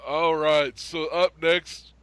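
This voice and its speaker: A man's voice: on the high side, fairly even intonation, takes it slowly, a bit bright, smooth. A man co-hosting a casual online pop culture chat show, laid-back and wry. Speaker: podcast co-host.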